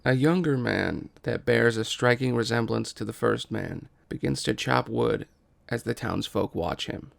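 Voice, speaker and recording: A man's voice: medium pitch, a little slow, gravelly, no room sound. A man reading scripted stage directions as the narrator of a musical.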